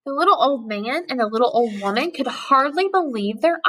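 A woman with a fairly high voice reads a children's story aloud. Her delivery is expressive and rhythmic, recorded in a small room at arm's length from the device. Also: brisk. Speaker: storybook reader. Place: small indoor room with cupboards.

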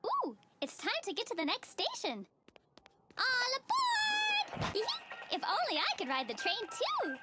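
A woman voicing a relentlessly cheerful, naive, sweet little girl in a TV cartoon, squeaky and gushing, with an innocent sing-song manner. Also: huge pitch swings, a little slow, very noisy, exaggerated voice. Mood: playful. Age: child character. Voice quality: squeaky, girlish. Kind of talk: animated TV cartoon acting. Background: playful children's music.

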